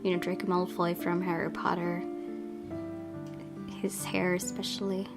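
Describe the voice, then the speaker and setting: A woman's voice: lowish voice, monotone, measured pace, vocal fry. A young adult woman hosting a gaming video, reading visual novel narration aloud with casual reactions. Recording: acoustic guitar music behind the voice.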